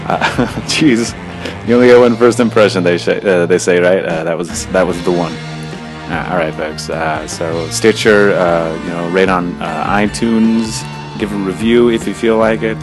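A man's voice: sits low, normal speed, over music. A man hosting a podcast, rambling casually with lots of fillers. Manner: rambling, filler-heavy, laid-back. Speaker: podcast host.

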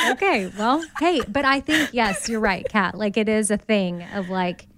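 A woman's voice: medium pitch, slow, fairly bright tone, a little hiss or hum. A woman in her forties co-hosting a podcast, chatting casually with a friend, reflective and laughing often. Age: 40s. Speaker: podcast co-host.

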